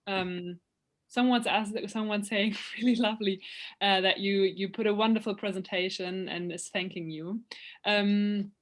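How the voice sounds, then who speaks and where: A woman's voice: mid-range voice, fairly even intonation, measured pace. A young woman presenting an online event, friendly, with many fillers and restarts.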